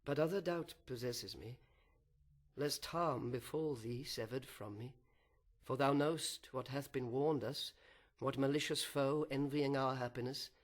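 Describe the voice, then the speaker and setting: Man acting gentle first husband in a verse drama, very slow and measured, earnest, cautious, tender. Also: medium pitch, close to an everyday voice. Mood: earnest concern.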